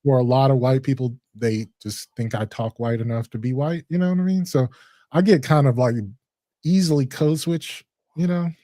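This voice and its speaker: Co-host, male, from Chicago, deep rich voice, calm and thoughtful, mid pitch, dry close mic. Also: dry recording. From Chicago, USA. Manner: measured, reflective. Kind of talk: casual chat.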